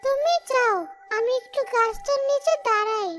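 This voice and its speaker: Very high-pitched, trusting young housewife played by a woman. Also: moderate pace. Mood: enchanted. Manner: gushing, optimistic. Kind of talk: cartoon dialogue acting. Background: music.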